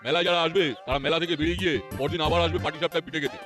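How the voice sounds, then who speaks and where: A man's voice: fairly high pitch, steady tone, very fast, music in the background, close to an everyday voice. A man voicing a confident, friendly sweet maker uncle in a comic cartoon, assured and hospitable.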